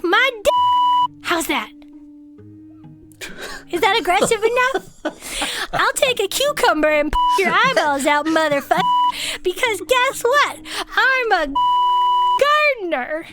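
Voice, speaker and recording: A high-pitched turtle gardener in a comedy audio drama. The character is earnest, chatty and easily excited, a cryptid fan who hides some rage under the cheer. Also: female voice, unhurried, pauses often, loud music behind the voice. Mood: mock aggressive. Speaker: podcast co-host. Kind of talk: comedic character banter, partly improvised.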